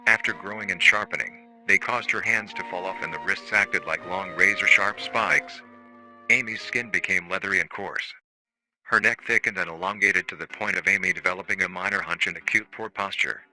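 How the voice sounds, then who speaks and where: A man playing a formal, matter-of-fact research-facility narrator on an analog horror staff training tape, calmly chilling and euphemistic. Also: deep voice, normal speed, soft background music, heavily acted, rough recording. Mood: clinical, detached.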